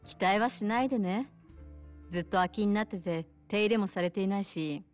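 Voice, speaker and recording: An actress playing a kind, soft-spoken bar owner with a polite, considerate manner in a video game cutscene. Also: female voice, medium pitch, normal speed, dry recording, faint music behind, close to an everyday voice. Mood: helpful, friendly. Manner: polite, considerate, gently casual.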